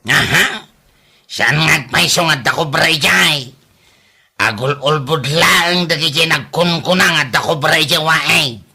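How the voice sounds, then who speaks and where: A boastful uncle from a comedy drama, acted by a man, forever exclaiming and repeating himself. His voice is very sharp and husky, and he takes his time over the words. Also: fairly high pitch, a little slow, exaggerated voice. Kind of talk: Ilocano comedy drama acting.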